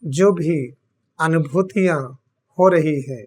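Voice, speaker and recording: Very slow-speaking Indian meditation teacher, a man. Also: on the high side, darkish tone. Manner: deliberate, solemn, with long pauses between short phrases. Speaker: spiritual teacher leading guided meditation. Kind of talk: guided meditation instruction.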